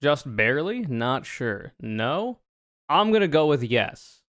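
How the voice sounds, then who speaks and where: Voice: medium pitch, very animated, takes it slowly, fairly bright tone, no room sound, studio quality. A man in his twenties from the United States chatting casually on a livestream, reading questions aloud and answering with joking, sarcastic, rambling asides. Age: 20s.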